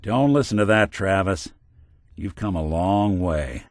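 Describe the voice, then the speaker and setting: A weary robot gumshoe from a video game. The voice sits fairly low and moves up and down with lots of expression, close and dry. He is gruff but kindly, delivering wry noir lines in old-fashioned slang. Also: expressive, a little slow, no room sound. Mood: encouraging. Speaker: video game companion character. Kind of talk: noir detective game dialogue.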